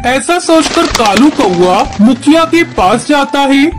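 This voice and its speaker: High-pitched woman narrating a children's story. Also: animated, slow pace, music in the background.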